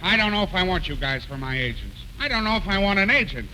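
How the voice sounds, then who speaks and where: A man's voice: high voice, fast talker, slight background noise, exaggerated voice, rough recording. A man playing a naive, blustering comedian looking for work, in 1930s radio comedy style on an old broadcast recording. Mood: wary. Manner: excitable, wary, naive. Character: gullible, blustering male radio comedian seeking movie work.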